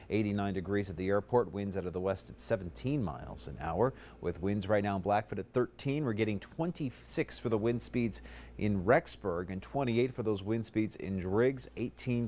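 Low-voiced TV weatherman. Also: slight background noise. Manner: brisk, conversational, reads off numbers in a steady run. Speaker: TV meteorologist. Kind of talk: live TV weather forecast. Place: TV studio, in front of a weather map. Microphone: lapel mic.

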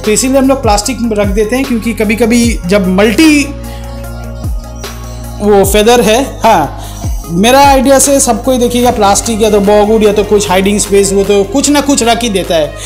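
A man's voice: sits high, moderate pace, darkish tone, soft background music. A middle-aged man who runs a fish farm, explaining his fish to a visitor in a chatty way and walking through examples, in an indoor room full of tanks, speaking into a handheld mic.

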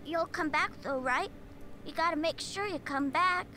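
A woman's voice: very high-pitched, normal speed, pushed and bright, slight background noise. A woman voicing an earnest young male villager boy in a game cutscene, with a folksy manner. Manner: folksy, drops g's.

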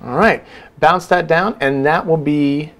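A man in his thirties giving an informal software tutorial, casually talking through each step. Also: fairly high pitch, unhurried. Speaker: sound design tutorial presenter.

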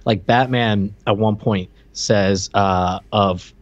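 A man co-hosting a casual, joking movie discussion, retelling scenes in a halting way, on a slightly muffled home recording. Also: fairly low pitch, steady tone, no room sound. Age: late 30s. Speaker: podcast co-host. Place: home room on a video call.

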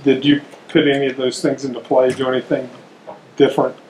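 A man's voice: medium pitch, monotone, a little fast, darkish tone. A man, a sports reporter asking a question at a press conference. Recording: echoey room, faint noise.

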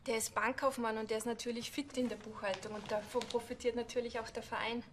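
An earnest, eager choir member, played by a woman with a fairly high voice. She explains things eagerly to the police in a TV crime comedy. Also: flat delivery, fast, noisy background, lightly acted.

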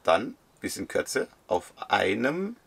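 Gravelly middle-aged German man, chatty. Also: on the low side, takes it slowly. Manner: relaxed, chatty, agrees often. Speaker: travel vlogger. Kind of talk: casual chat and voice-over narration. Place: inside a camper van.

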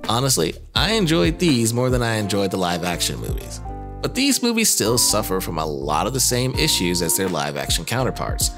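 A man narrating a scripted video commentary as host, with casual, jokey asides and a wry manner. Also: low-pitched. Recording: music under the voice. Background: background music.

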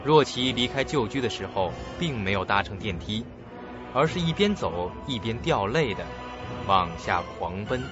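A man's voice: on the low side, expressive, moderate pace. A man narrating a radio drama in a dramatic, scripted storytelling style. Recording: muffled dull recording with loud music.

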